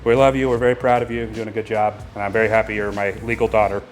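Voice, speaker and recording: A man hosting a casual reaction chat, hoarse and raspy from a cold, candid and chatty. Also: lowish voice, little pitch movement, very fast, soft background music. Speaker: channel host.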